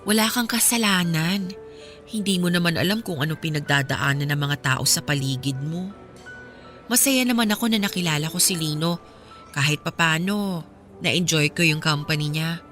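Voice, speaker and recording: A woman's voice: low voice, moderate pace, fairly bright tone, soft background music. An actress playing a weary, resigned young woman in a radio drama, confiding in a subdued, reflective way. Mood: wistful, gentle. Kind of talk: Filipino radio drama dialogue.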